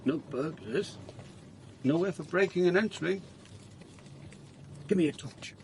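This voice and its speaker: An actor playing an observant, brusque police inspector in a radio drama, with deliberate, clipped phrasing and a wry touch. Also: male voice, a little high, gravelly, a little mellow, a little hiss or hum. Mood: terse, probing. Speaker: lead actor and narrator. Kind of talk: radio drama acting and first-person narration.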